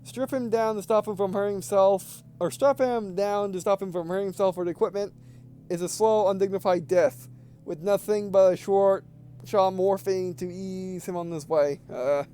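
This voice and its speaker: A man with a high, clean and smooth voice reads a visual novel aloud for a gaming video. He slips between narration and casual commentary, often tripping over words and going back over phrases. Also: steady tone, normal speed, warm tone.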